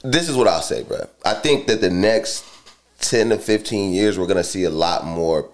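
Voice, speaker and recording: A young man with a low, naturally raspy voice, speaking in a relaxed, slangy way. He leans on "you know what I'm saying" as he talks through his music and his hometown. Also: normal speed. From Charlotte, North Carolina. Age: 20s. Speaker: rapper guest. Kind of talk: casual interview chat.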